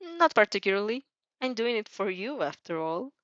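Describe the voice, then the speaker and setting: A woman's voice: mid-range voice, sing-song, normal speed, a bit bright, no echo. A smug, playful winged hero man, as a woman plays him in an audio story. He is breezy, teasing and fond. The sound is dry and a touch dull.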